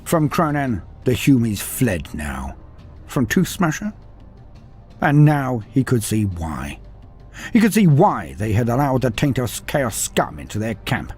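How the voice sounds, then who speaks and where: A man reading a scripted story aloud with dramatic, expressive delivery. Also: medium pitch, measured pace, soft background music. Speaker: narrator.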